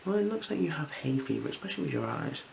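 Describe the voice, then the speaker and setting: A gentle, unhurried ASMR performer speaking softly and slipping into whispers while role-playing an eye examiner. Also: medium pitch, sing-song. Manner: gentle, unhurried, soothing instructions. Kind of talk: ASMR role-play, soft-spoken with whispers. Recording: clear background noise.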